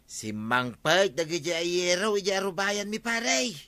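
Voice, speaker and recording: A man's voice: high-pitched, moderate pace, bright, forceful tone, no echo. A man with an Ilocano Filipino accent playing an aggrieved, scheming villager in a radio comedy drama, full of indignant complaint. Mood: complaining.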